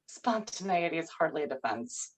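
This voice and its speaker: A woman's voice: low-pitched, expressive, moderate pace. A woman at a screenplay table read playing a sardonic, disapproving lover with dry, arch, pointed delivery. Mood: sardonic, irritated.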